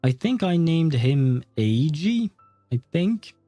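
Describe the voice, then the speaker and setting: A man's voice: a little high, big pitch swings, slow pace, warm tone, no echo, faint music behind. A man chatting casually and a bit hesitantly with viewers as he hosts a gaming livestream, often rambling. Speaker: livestream host. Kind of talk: casual chat while streaming a game.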